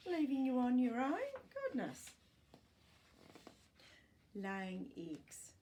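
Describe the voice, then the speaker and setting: Woman, middle-aged, children's read-aloud, unhurried pace, creaky edge, expressive, explanatory. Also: medium pitch, slight background noise. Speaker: storytime host reading aloud. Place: living room at home.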